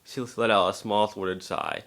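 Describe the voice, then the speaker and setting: A man's voice: on the low side, fairly even intonation, unhurried, a bit bright. A man narrating a story as an amateur dramatic reader, occasionally stumbling over the text.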